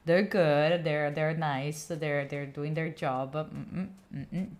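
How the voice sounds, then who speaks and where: A woman's voice: low voice, fairly even intonation, slow, a little mellow. A young woman with an Italian accent, a streamer chatting to her viewers while playing a game and cheering on her character. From Italy. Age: young adult. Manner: chatty, talks to chat, cheers on her character with sudden squeals. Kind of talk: live gameplay stream chat.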